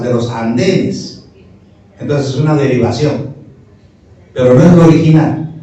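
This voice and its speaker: A man's voice: a little high, warm tone. Middle-aged man lecturing, rhetorical questions, recorded far off, muffled and echoey. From Peru. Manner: didactic, argues point by point with rhetorical questions. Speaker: speaker at a history panel. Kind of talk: lecturing panel talk.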